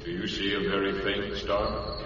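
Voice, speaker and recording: An actor playing a solemn, guarded alien space traveler in a radio drama, speaking stiffly and formally through a reverberant translating machine. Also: male voice, on the low side, completely flat delivery. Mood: solemn, patient. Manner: stilted, formal, halting, without contractions. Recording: echoing, muffled, distant, noisy radio recording.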